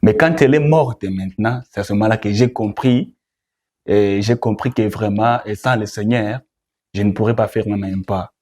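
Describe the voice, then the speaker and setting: A man's voice: on the low side, normal speed, darkish tone. A young man with a Congolese French accent answering interview questions, often repeating words and phrases. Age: young adult. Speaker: guest, gospel musician.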